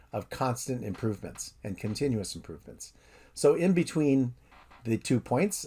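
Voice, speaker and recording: A professor in his fifties giving a methodical, recorded introduction to his course. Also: medium pitch. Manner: steady, methodical explainer.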